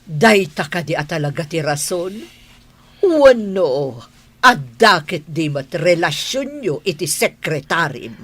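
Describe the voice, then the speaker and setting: A woman playing a haughty, easily outraged wealthy wife in an audio drama, with an Ilocano Filipino accent and sharp, indignant questioning. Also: lowish voice, big pitch swings, takes it slowly, no room sound, exaggerated voice. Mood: shocked, hurt.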